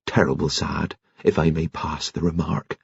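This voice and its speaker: A man's voice: rumbling low, level tone, moderate pace, vocal fry, husky, no room sound, highs cut off, voice pushed down, full character voice. A man voicing a rustic, respectful village postman with folksy, deferential phrasing in an audiobook story. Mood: solemn, confiding.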